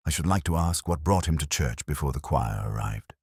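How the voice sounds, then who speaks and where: A man voicing a courteous, quietly incisive police inspector in a detective story, formal and measured. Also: very deep voice, expressive, vocal fry, breathy edge, no echo, studio quality, exaggerated voice. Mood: measured, dry.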